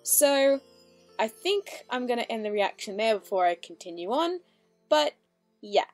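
A woman's voice: a little high, very animated, measured pace, darkish tone, dry recording. A woman hosting a reaction video, commenting casually as she goes. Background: background music.

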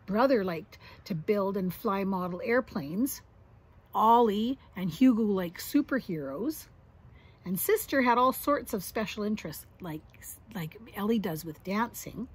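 A middle-aged woman with a husky voice reading a children's picture book aloud expressively, adding little asides for young listeners. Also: mid-range voice, expressive, measured pace. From Canada. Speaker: grandmother reading to grandchildren. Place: outdoors on a deck or yard among trees.

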